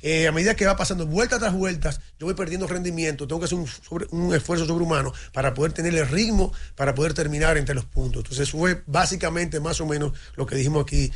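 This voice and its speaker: A man talking sports on a studio talk show, rushing through his points at high speed. His voice sits somewhat high, and he stumbles, restarts and repeats himself as he goes. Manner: animated, talks fast with restarts and repeats. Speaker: motorsport commentator.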